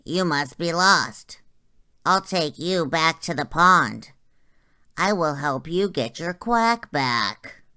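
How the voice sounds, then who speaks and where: Woman voicing an earnest, slow-talking young boy cat. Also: low-pitched, animated, sharp tone, exaggerated voice. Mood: reassuring. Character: kind, puzzled young male cartoon cat. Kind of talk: storybook character voice.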